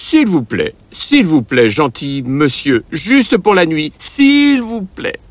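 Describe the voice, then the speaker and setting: A man acts a hapless young apprentice tailor in a comic storybook. He is whiny and sarcastic, with a high, very animated voice and unhurried pace. Music plays underneath. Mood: pleading. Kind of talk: comic acted storybook dialogue.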